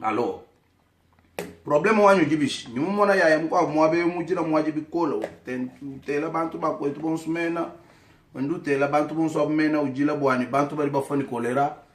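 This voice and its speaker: A man from Kasaï in DR Congo with a Congolese French accent, whose first language is Tshiluba, giving an impassioned political address to camera and calling people out by name. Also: mid-range voice. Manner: fiery, accusatory, calls out names directly. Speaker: vlogger addressing his community. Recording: echoey room.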